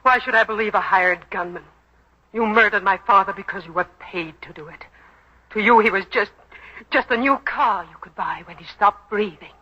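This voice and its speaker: A woman's voice: mid-range voice, moderate pace, sharp tone, breathy edge. An actress playing a bitter, grieving daughter in a 1940s radio drama, intense and accusing in her delivery. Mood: bitter, vengeful. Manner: intense, accusing, clipped retorts. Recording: dull vintage 1940s radio recording.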